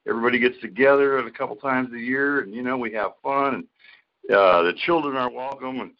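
A man co-hosts a casual podcast in a creaky, gravelly voice of middling pitch. He talks crudely and swears heavily. The recording is dull and lo-fi. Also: a little fast. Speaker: podcast co-host. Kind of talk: casual chat. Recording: dull lo-fi audio.

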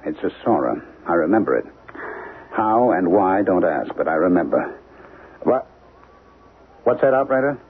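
A man's voice: fairly low pitch, slightly rough, full character voice. An actor playing an agitated, insistent man convinced of alien invaders, in a 1970s radio drama. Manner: insistent, intense, hesitant when distracted. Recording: noisy, phone-like 1970s radio broadcast.

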